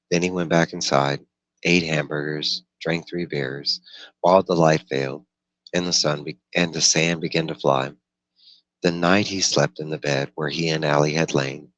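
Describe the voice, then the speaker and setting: A man narrating a novel aloud in a somewhat halting way, occasionally stumbling over words. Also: rumbling low, fairly bright tone, dry recording, highs cut off. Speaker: audiobook reader.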